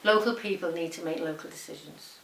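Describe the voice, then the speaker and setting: Low-voiced older woman. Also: brisk, creaky, fairly bright tone. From Liverpool, England. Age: 70s. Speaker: documentary interviewee. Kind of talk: unscripted interview answers. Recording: roomy sound with faint hiss.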